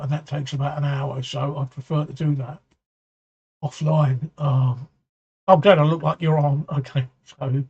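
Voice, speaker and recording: Hoarse, husky man chatting on a stream. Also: fairly high pitch, darkish tone, highs cut off. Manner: halting, repeats words, often clears throat. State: voice getting hoarse. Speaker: livestream talk show host. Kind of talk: casual livestream chat answering viewer comments.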